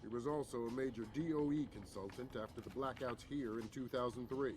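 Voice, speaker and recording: An actor playing a calm, authoritative intelligence chief who speaks in a measured, firm briefing tone. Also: male voice, mid-range voice, noisy background, natural voice. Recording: game cutscene with music underneath.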